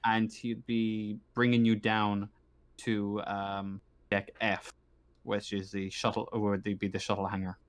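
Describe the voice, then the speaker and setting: A man narrating a tabletop roleplaying game as game master, speaking haltingly and repeating phrases as he improvises. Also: fairly low pitch, level tone. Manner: halting, repeats phrases while working out descriptions.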